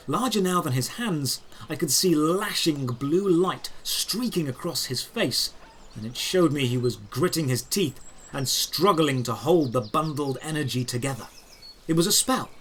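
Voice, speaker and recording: A man narrating a scripted first-person fantasy adventure in a dramatic, deliberate, descriptive way. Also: a little high, breathy edge.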